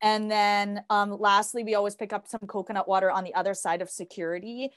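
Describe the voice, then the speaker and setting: A woman co-hosts a podcast in relaxed, conversational style. Her voice sits at a middling pitch, and the recording is dry with very little room sound. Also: a little fast, smooth, dry recording. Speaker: podcast co-host, health coach. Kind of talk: casual podcast chat.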